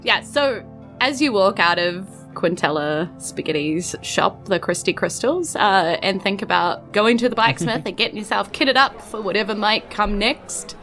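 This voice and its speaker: A woman with a New Zealand accent narrating a tabletop game as game master, improvising in a chatty, animated way. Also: mid-range voice, normal speed, soft background music. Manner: animated, conversational.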